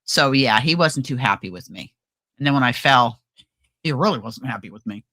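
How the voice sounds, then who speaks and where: A woman co-hosting a casual crafting livestream, chatty and animated, often trailing off and restarting. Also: a bass voice, moderate pace, dry recording, studio quality. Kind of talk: casual chat. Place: home livestream.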